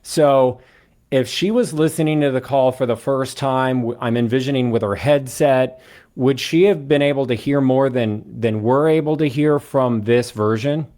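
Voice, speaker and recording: A man with a medium-pitched voice hosts an interview show. He speaks in a conversational true crime style, putting questions to a guest. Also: moderate pace, darkish tone. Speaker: podcast host and interviewer.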